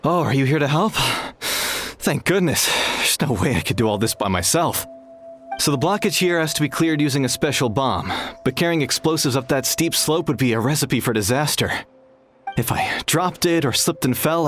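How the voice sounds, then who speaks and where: A man's voice: a little high, lightly acted. An actor voicing a nervous, chatty male technician in a video game who rambles through his plan. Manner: rambling, relieved.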